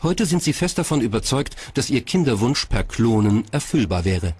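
A man's voice: mid-range voice, very animated, normal speed, a little mellow, dry recording. A man reading scripted documentary narration. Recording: clear background noise.

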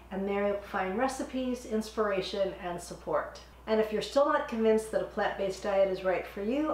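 Middle-aged woman with a cold, casual step-by-step cooking demo, recorded in a roomy kitchen with faint room noise. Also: medium pitch. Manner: friendly, chatty, step-by-step instructions. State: slightly hoarse from a cold. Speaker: cooking channel host. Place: home kitchen, indoors.

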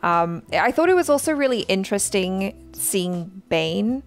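A woman's voice: medium pitch, big pitch swings, a little slow. A young woman chatting casually as a reaction host, thinking aloud in a conversational way. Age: young adult. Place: small indoor studio. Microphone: headset boom mic.

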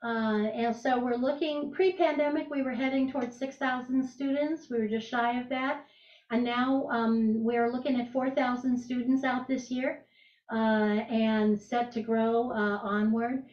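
A woman's voice: on the high side, fairly even intonation, measured pace. A woman in her sixties talking casually from her home kitchen on a video call, thoughtful and hesitant with many fillers. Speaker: program leader and cooking host. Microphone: video call laptop mic. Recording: roomy, dull video call audio.

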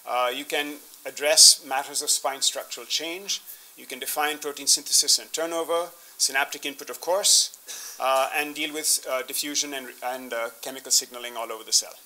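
A man's voice: on the high side, pushed and bright, low-quality recording. A man in his fifties explaining slides in a scientific talk as a keynote speaker, often restarting his phrases. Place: indoor conference room, standing at a podium with laptop.

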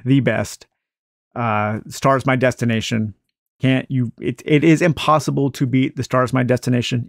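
Man, gravelly, dry close sound, enthusiastic book haul chat, mid pitch. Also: no echo. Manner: enthusiastic, unhurried, many uh fillers. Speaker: book collector showing a book haul. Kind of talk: casual book haul chat with a read-aloud passage. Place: indoors at a table with books.

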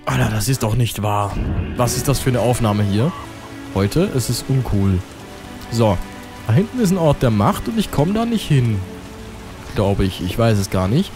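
A man's voice: mid-range voice, big pitch swings, moderate pace. A man with a cold giving casual, rambling commentary over his gameplay, muttering to himself. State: has a cold. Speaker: let's play commentator. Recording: over loud game music.

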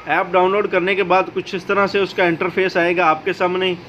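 Male tutorial host, notably high voice, explaining step by step over slightly noisy audio. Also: a little fast. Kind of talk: how-to video narration. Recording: dull lo-fi audio, steady background noise.

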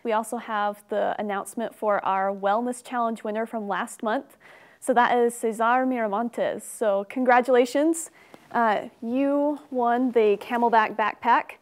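A woman's voice: a little high, steady tone, measured pace. A young woman hosting a webinar in a studio, reading out questions and making upbeat announcements. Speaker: webinar host. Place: studio set.

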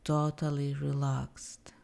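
A woman narrating a guided meditation in a calm, gentle and deliberate manner, giving soothing scripted instructions with pauses. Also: extremely low pitch, gravelly, slight background noise. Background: soft meditation music.

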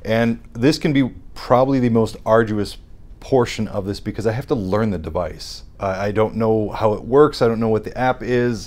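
A man in his thirties, a hearing scientist guest, calmly explaining technical lab procedures in an interview on a studio set. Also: fairly low pitch. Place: dark studio set with armchairs.

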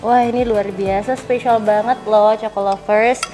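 A woman's voice: medium pitch, steady tone, a little slow, over music. A young woman hosts a live cooking demonstration, chatting casually and exclaiming to viewers. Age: young adult. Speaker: cooking show host. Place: studio kitchen set, indoors.

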